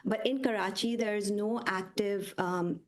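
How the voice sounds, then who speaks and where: Woman guest author raised in the UAE; casual, halting delivery with frequent fillers. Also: medium pitch, steady tone. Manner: hesitant, many ums and uhs, laughs easily. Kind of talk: casual conversation over video call.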